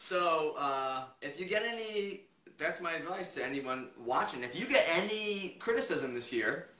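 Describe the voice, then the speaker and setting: A man's voice: on the high side, normal speed. A man in his thirties chatting casually and profanely as a podcast co-host, acting out dialogue as he tells stories. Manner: animated, acts out quoted dialogue. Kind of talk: casual profane storytelling chat. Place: small living room, on a couch. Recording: echoey living room.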